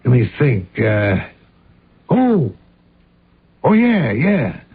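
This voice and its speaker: An actor playing a folksy, cynical police inspector in a radio drama, chummy, slangy and offhand. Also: male voice, mid-range voice, wildly swooping pitch, very slow, slightly rough, heavily acted. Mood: dismissive, cynical. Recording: muffled lo-fi radio drama recording.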